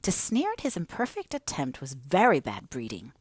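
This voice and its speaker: A woman's voice: sits low, wildly swooping pitch, moderate pace, breathy edge, no room sound, exaggerated voice. Woman narrator as a stern caring servant woman telling someone off, earnest, audiobook, dry sound. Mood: earnest reproach. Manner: earnest, reproving. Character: stern, caring older female servant, scolding.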